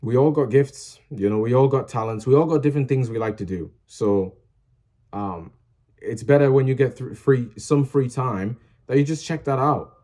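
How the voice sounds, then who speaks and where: A man's voice: mid-range voice, normal speed, warm tone. A young man who makes music videos talks to his viewers in a casual, rambling way, full of fillers and restarts, earnestly urging them to post what they love. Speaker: small music channel creator. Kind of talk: casual motivational vlog talk.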